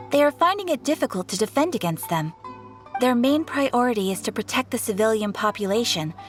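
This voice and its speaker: A woman's voice: fairly high pitch, close to an everyday voice. A woman playing a composed female guild receptionist in a game, giving a formal, courteous briefing.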